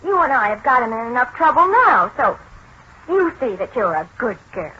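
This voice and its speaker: A woman playing a bossy, wisecracking young woman with a brisk, slangy manner in 1940s radio drama. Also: a little high, big pitch swings, pushed and bright, breathy edge, exaggerated voice. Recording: noisy, muffled 1940s radio recording.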